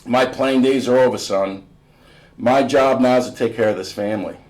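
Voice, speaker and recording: A man's voice: medium pitch, moderate pace, a little mellow, lightly acted. A man voicing a proud, teasing, loving father and former basketball star in a storybook, with emphatic, bantering delivery. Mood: reassuring, firm.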